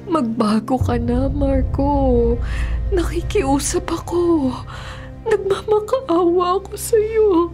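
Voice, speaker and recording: A woman's voice: very high-pitched, slow, darkish tone, faint music behind. An actress playing an anguished, imploring wife of a hitman in a Filipino radio drama, full of emotion. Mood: tearful, desperate. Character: anguished, pleading wife of a hitman, adult woman.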